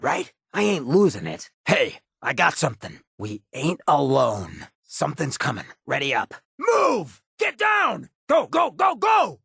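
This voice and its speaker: A male actor playing a roguish, swaggering ghoul leader in a video game, with a gravelly rasp, an American accent and a cocky, streetwise drawl. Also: mid-range voice, a bit bright, dry recording, studio quality, exaggerated voice. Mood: wry, alert, then battle taunting. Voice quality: raspy, gravelly. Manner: cocky, streetwise drawl with clipped slangy phrasing. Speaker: companion character in a video game. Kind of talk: video game character voice lines.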